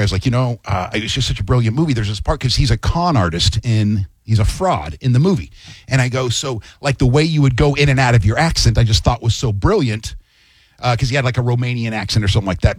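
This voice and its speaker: A middle-aged man co-hosting a morning radio show, spinning a self-mocking story with lively energy. His voice sits at an ordinary pitch and is picked up dry and close in the studio. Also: quick pace, husky, dry recording. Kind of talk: casual on-air banter.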